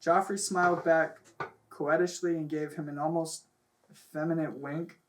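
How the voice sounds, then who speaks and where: A man with a slightly high voice reads comic fiction aloud like a storyteller, tripping over the odd word. It was picked up by a camera microphone, with some faint noise behind him. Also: level tone, normal speed. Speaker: host reading stories aloud. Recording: noisy distant camera microphone.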